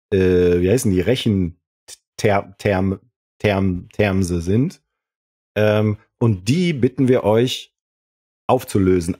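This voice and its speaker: A man's voice: lowish voice, mellow. A man co-hosting a podcast in casual chat, rambling and joking as he talks. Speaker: podcast co-host.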